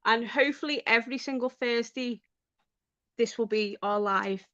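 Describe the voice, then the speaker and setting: Woman, Liverpool accent, high voice, chatty, live stream host, dull lo-fi sound. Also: normal speed, pushed and bright, no room sound. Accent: Liverpool (Scouse). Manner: chatty, bubbly, laughs often, talks to viewers. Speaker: livestream host. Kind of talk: casual live stream chat.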